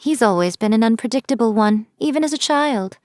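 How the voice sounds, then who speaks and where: A woman's voice: a little high, lively intonation, brisk, darkish tone, dry recording, clean studio sound, close to an everyday voice. A woman voicing a sly, teasing fox-spirit shrine priestess, speaking in a playful, wry, mocking tone. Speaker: game character. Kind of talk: scripted game voice lines.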